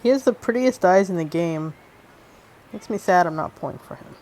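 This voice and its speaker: A woman's voice: fairly low pitch, lively intonation, moderate pace, a little mellow. A woman commenting casually as she plays through a video game. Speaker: game player commenting on her playthrough. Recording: faint game music behind.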